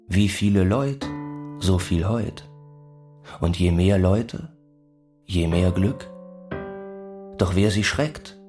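Casting: solemn country villager, radio drama verse, very slow delivery over guitar music. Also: male voice, low-pitched, heavily acted. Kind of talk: scripted radio play, slow poetic recitation.

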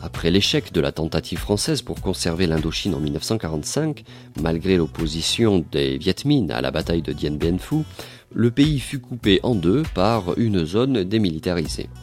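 A man with a very deep, low voice narrates a documentary and puts questions to his guest. His delivery is measured and informative. Faint music plays behind him. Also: lively intonation, darkish tone. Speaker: interviewer and narrator.

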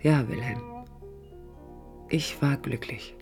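A woman's voice: extremely low pitch, takes it slowly, a little mellow, exaggerated voice. An actress playing a passionate, searching young woman in a radio play, reflective and intimate, sometimes close to a whisper. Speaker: radio drama actress, narrator and lead. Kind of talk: 1980s German radio play acting, intimate monologue. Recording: music under a studio radio recording.

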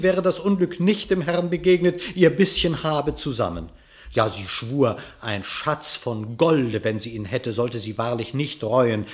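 A man narrating a verse animal fable for radio, declaiming in an expressive, theatrical way. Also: medium pitch.

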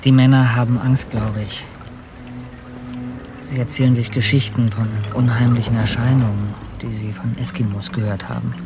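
An actor playing an earnest, ambitious polar explorer and ship's captain in a radio drama, speaking and narrating in a heartfelt, literary way. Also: male voice, mid-range voice, little pitch movement, normal speed, over music, natural voice. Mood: concerned.

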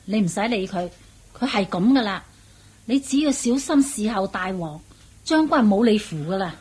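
A woman's voice: medium pitch, animated, normal speed, darkish tone, husky. A woman with a Hong Kong Cantonese accent playing a motherly, scheming palace cook in a radio drama, chatty and coaxing.

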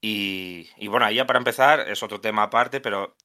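Podcast guest, man Asturias, low-ish pitch with a forceful edge, thoughtful and rambling. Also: a little fast. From Asturias, Spain. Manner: thoughtful, many restarts and self-corrections. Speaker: video game translator, guest. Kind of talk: casual podcast conversation.

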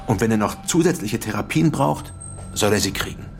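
Measured, businesslike politician for radio crime drama, lowish gravelly voice, clear music bed. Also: male voice, lively intonation. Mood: businesslike, reassuring. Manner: matter-of-fact, measured, businesslike. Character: composed, controlled male mayoral candidate, former metalworker, conciliatory. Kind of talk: naturalistic German radio crime drama dialogue.

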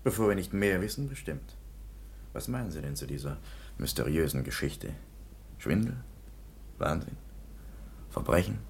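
An actor playing a thoughtful, observant police commissioner of the nineteenth century in a radio drama, measured and musing. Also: male voice, sits low, very animated, gravelly, a little hiss or hum, exaggerated voice. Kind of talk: German radio drama acting.

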